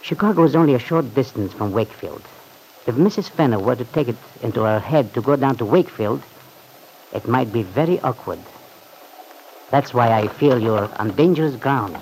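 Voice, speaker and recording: An actor playing a cautious, worried manservant, formal and discreet, with a creaky voice, in an old-time radio serial. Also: male voice, medium pitch, lively intonation, moderate pace, darkish tone, lightly acted. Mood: worried caution. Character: deferential, cautious, worried manservant advising his employer. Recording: faintly noisy old radio recording.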